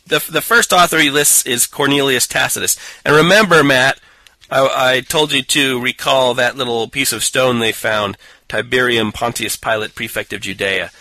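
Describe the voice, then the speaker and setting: A man's voice: mid-range voice, pushed and bright, dry recording, poor audio. A man co-hosting a casual podcast, picking apart a book with a sarcastic, mocking manner and frequent laughter. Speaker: podcast co-host. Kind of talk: casual chat reviewing a book.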